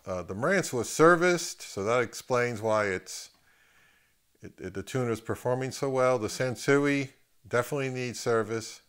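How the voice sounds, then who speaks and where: A man with a mid-pitched voice hosts a casual gear review. He talks off the cuff, haltingly, often repeating a word as he thinks aloud. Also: fairly bright tone, clean tone. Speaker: host of an audio gear video channel. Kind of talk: casual unscripted equipment review commentary.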